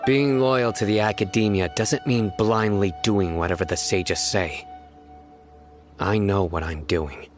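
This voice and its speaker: An actor portrays a stern, disciplined official in a video game. His voice is fairly low, his delivery measured and guarded. Game music runs clearly underneath. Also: natural voice. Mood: serious. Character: stern grown man official, disciplined and wary.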